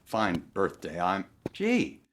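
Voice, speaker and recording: A man's voice: low-pitched, huge pitch swings, slow, a bit bright, a little hiss or hum, full character voice. A middle-aged man playing a vain, stingy, sulking boss in a recreated 1950s radio comedy, huffy and indignant. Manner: huffy, indignant comic delivery.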